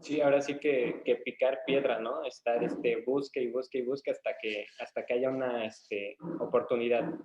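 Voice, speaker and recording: A man's voice: mid-range voice, fairly even intonation, normal speed, hardly any pauses, darkish tone. A young man with a Mexican accent, interviewing a guest conversationally as the student host of an online webinar. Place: indoor room, online webinar call. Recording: echoey, dull lo-fi call audio.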